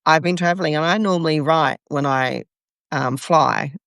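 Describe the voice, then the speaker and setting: A woman with a gravelly edge to her voice chats in a relaxed, agreeable way and laughs easily. Her voice is dry and close, with no echo. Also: low voice, moderate pace. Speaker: podcast co-host. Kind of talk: casual chat.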